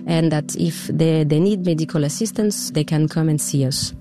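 A woman's voice: low-pitched, normal speed, warm tone, soft background music. A woman who heads an aid mission, explaining plainly in an interview and sometimes repeating herself. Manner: explains plainly, with some repetition.

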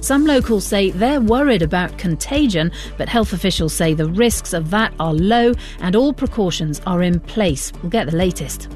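British woman presenting radio news, lowish voice. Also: lively intonation, normal speed, few pauses, a little mellow, faint music behind.

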